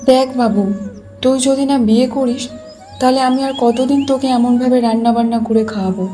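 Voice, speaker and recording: A woman voicing a kindly, weary village mother in a cartoon, gently coaxing and advising. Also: a little high, steady tone, normal speed, faint music behind, close to an everyday voice. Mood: pleading, weary. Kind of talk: Bengali cartoon voice acting.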